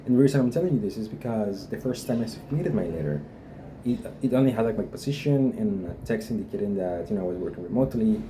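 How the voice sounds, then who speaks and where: A man's voice: lowish voice, animated, quick pace. A young man from Guatemala, talking casually and hesitantly to camera about his visa process, with many fillers. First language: Spanish. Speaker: vlogger sharing a visa experience. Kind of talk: casual unscripted talk to camera. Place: a home in Spain. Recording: noisy room with a dog barking.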